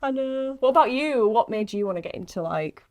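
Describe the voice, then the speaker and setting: A woman's voice: on the high side, lively intonation, a little fast, darkish tone. A woman chatting casually as an actress on a podcast, slangy and self-deprecating. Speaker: actress interviewing a fellow actress on a podcast. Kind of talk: casual chat.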